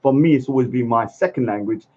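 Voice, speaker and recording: A man's voice: mid-range voice, fast talker, warm tone. A man delivering a blunt, combative ranting monologue, repeatedly saying "let me say something" and checking with "yeah?". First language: English. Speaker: speaker's corner vlogger. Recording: dull lo-fi sound.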